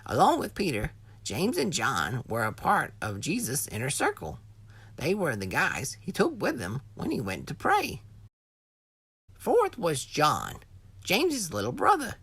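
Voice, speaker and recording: Low, husky, highly expressive woman narrator. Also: measured pace, no echo. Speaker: narrator, Bible teacher. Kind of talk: scripted educational narration for children.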